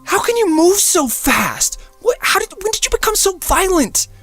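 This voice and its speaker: A man's voice: extremely high pitch, very animated, normal speed, pushed and bright, soft background music, pitched above the performer's own voice, full character voice. A man voicing an incredulous, frightened young woman in love in an audiobook, with breathless questioning. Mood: shocked.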